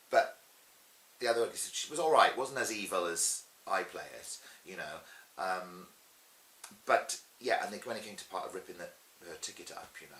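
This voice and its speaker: Guy chatting theatrically, low forceful voice, roomy echo. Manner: chatty, theatrical, emphatic storytelling. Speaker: pantomime dame performer, interviewee. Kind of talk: animated anecdotal interview talk. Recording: roomy echo with faint hiss.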